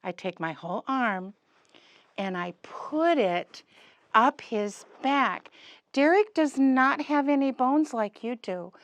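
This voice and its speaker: A woman presents a puppet lesson to young children at a slow pace. She speaks in short, simple, emphatic phrases and often puts questions to them. The sound is dry, with little room echo. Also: high-pitched, animated, dull audio.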